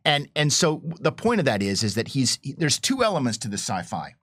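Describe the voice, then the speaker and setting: A man with a lively, swooping delivery talks as a game designer in a panel discussion. He explains ideas like an enthusiastic professor, and the sound is close and dry. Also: mid-range voice, a little fast, no echo.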